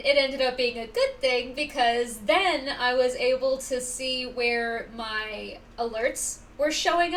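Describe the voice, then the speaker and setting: Unhurried woman streamer, fairly high voice. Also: fairly bright tone. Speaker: live game streamer. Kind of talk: casual livestream chat. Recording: distant mic, faint background noise.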